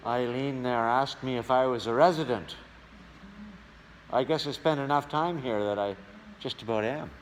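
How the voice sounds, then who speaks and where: A man's voice: medium pitch, normal speed, pauses often, faint music behind. A man narrating a casual vlog as a touring musician, with a folksy, wry way of talking. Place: outdoors and in a vehicle. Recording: noisy outdoor and vehicle ambience.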